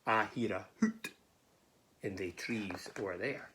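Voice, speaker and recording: A woman's voice: a bass voice, takes it slowly, a bit bright, husky, heavily acted. A woman voicing a big, fearsome but gullible monster in a Scots storybook, with a Scottish accent. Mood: baffled.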